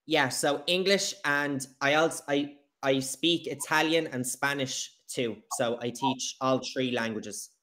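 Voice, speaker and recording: A man's voice: mid-range voice, unhurried, few pauses, bright, forceful tone. A man in his late twenties with an Irish accent, teaching English in a casual chat, using simple phrasing and repeating key words. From Ireland. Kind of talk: casual conversational lesson. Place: kitchen indoors, video call. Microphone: video call device.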